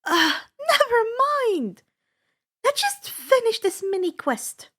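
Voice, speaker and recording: Woman performing bossy young girl, storybook adventurer, high voice, scolding, emphatic, very animated. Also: slow pace, heavily acted. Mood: flustered.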